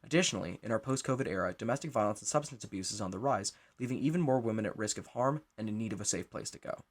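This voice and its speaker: A man's voice: lowish voice, racing. A man giving a scripted introduction as the writer and director of a show.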